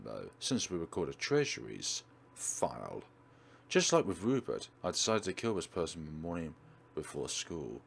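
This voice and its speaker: Male gaming host, low register, very varied intonation, dramatic read-aloud and commentary over faint noise. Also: vocal fry. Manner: dramatic reading with exclamations. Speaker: let's play host. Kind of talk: reading a visual novel aloud with commentary.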